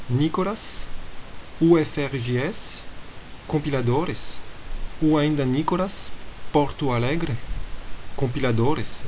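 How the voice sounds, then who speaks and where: A man with a foreign accent giving an improvised university lecture in a podcast, speaking in a hesitant, measured way and spelling things out slowly. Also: mid-range voice, poor audio. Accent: foreign accent in Portuguese. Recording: hissy lecture recording.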